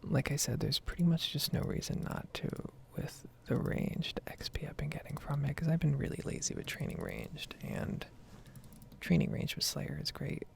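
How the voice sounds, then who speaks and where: Female ASMR gamer, breathy whisper with very heavy fry, casual and rambling, close-miked. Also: low-pitched, wildly swooping pitch, normal speed. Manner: casual, rambling, mild swearing. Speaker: ASMR gaming streamer. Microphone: close microphone.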